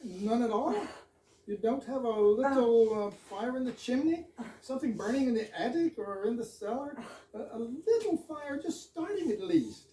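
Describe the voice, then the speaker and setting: A woman's voice: fairly high pitch, animated, moderate pace, mellow, lightly acted. A woman in an amateur stage reading, playing a polite, earnest fire chief in a hesitant, apologetic way. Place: echoey room at a live readers theater. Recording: echoey room, distant microphone.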